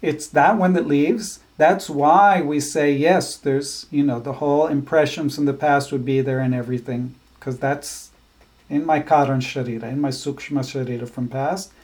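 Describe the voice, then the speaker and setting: A man teaching a scripture class, explaining ideas in a thoughtful, halting way with frequent restarts. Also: a little high, a little mellow. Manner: chants verses slowly. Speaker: spiritual teacher.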